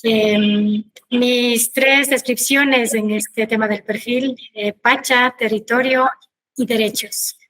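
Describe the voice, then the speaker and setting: Even-toned Kichwa-speaking woman. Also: fairly high pitch, rough recording. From Ecuador. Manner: measured, earnest storytelling. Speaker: guest. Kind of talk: personal testimony in a panel.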